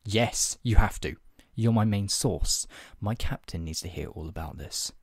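Casting: male actor as menacing redcoat interrogator, lowish voice, clipped and officious, ASMR roleplay, dry close sound. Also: a little slow, slightly rough, no room sound. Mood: measured, persuasive. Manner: clipped, authoritative, formally polite with condescending threat. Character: stern, menacing British redcoat soldier interrogating a colonist. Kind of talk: ASMR roleplay, one-sided scripted dialogue.

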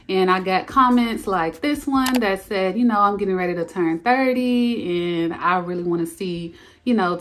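A chatty woman in her thirties talks straight to the camera from a carpeted bedroom at home. Her voice sits a little high, and she leaps up higher still to stress a point before dropping back to an easy, playful tone. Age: 30s. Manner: chatty and animated, sudden high jumps for emphasis. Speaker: lifestyle vlogger. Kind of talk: casual chat to camera.

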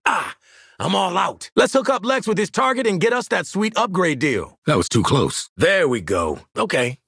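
An actor playing a cocky, sardonic American mercenary marksman in a video game, with a gruff, rough voice and brash, clipped delivery. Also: on the high side, bright, forceful tone, no room sound, studio quality, heavily acted. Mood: cocky, dry. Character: cocky, gruff male mercenary marksman, sarcastic squad soldier. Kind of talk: video game combat voice lines.

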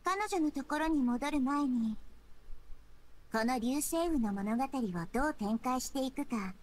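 High, gentle little girl deity. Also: expressive, a little slow. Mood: gently advising. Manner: soft, composed, explanatory. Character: gentle, wise childlike girl deity, calm and kind. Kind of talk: Japanese anime game voice acting.

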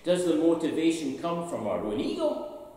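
A man's voice: fairly high pitch, wildly swooping pitch, moderate pace, warm tone. An older man with a Scottish accent, a minister preaching a sermon from a pulpit and asking the congregation rhetorical questions. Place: large church interior, at a pulpit. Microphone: stand mic at pulpit, camera from a distance. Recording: echoey church hall from far off.

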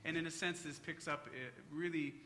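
Bright-voiced man giving a conference talk. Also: a little high, a little fast, slight background noise. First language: English. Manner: relaxed, joking, with frequent fillers. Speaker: conference speaker. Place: hotel conference hall. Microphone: podium microphone.

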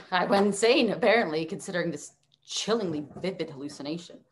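A young woman playing an anxious, skeptical former assistant in a comic table read, deadpan and incredulous, over video call. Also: lowish voice, fairly quick, a little hiss or hum, lightly acted. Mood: dry.

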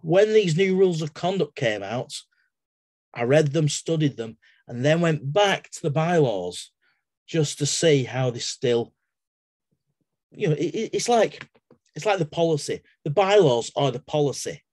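A man's voice: a little high, expressive, measured pace, darkish tone, no echo. A middle-aged man with an English accent, talking casually on a video call from his home study as a webinar speaker, digressing into everyday examples and often restarting his sentences. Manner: chatty, digressive, with everyday analogies and frequent restarts. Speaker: webinar guest speaker, exam assessor. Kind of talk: casual explanatory talk in a webinar. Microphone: laptop webcam on a video call.

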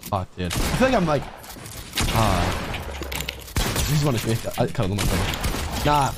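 A man's voice: fairly low pitch, big pitch swings, moderate pace, very noisy. A young man gaming on stream, brash and rambling, swearing often. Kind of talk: casual voice chat while gaming.

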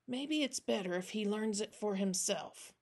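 Kindly old master for a storybook, played by a woman, weary, burdened, grave and hushed. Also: medium pitch, moderate pace, close to an everyday voice. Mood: subdued.

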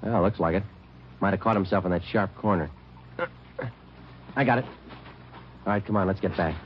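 Actor as very deep deadpan police detective. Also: male voice, normal speed, a little hiss or hum, close to an everyday voice. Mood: focused. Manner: deadpan, terse. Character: terse, matter-of-fact male police detective narrating his case. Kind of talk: 1940s-50s radio acting, clipped narration. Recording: dull old-time radio recording.

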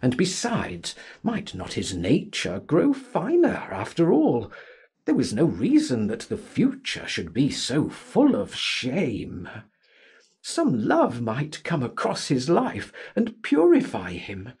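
A man narrating a literary audiobook in a dramatic, theatrical style with deliberate phrasing. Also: medium pitch, huge pitch swings, darkish tone, husky.

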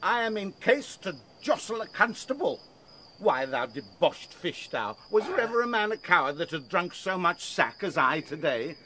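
Drunk fool, high lively voice, sneering, giggly, Shakespearean audio play. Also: male voice, moderate pace, a little hiss or hum, heavily acted. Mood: scornful. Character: tipsy, mocking, cowardly jester. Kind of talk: Shakespearean comic acting.

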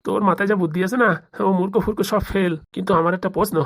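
A woman's voice: mid-range voice, fairly even intonation, racing, natural voice. A woman voicing a male village politician in a comic cartoon, gushing and familiar, a scheming brotherly patron. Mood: effusive, brotherly.

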